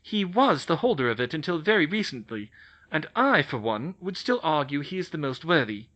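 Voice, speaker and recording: A man's voice: a little high, big pitch swings, normal speed, fairly bright tone, highs cut off, pitched above the performer's own voice. A man voicing a stern older female headmistress who speaks icily and formally. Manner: crisp, icy.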